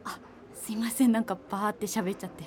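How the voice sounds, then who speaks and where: An actress playing a polite, reserved, slightly hesitant young music fan in a radio drama. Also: female voice, medium pitch, fairly even intonation, fast, slight background noise, lightly acted. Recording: music behind the voice.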